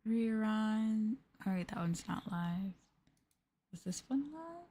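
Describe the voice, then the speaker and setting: A woman streamer chatting softly in an ASMR livestream style, gentle and hesitant, sometimes drifting into a whisper. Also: mid-range voice, big pitch swings, takes it slowly, breathy edge. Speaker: streamer, VTuber host.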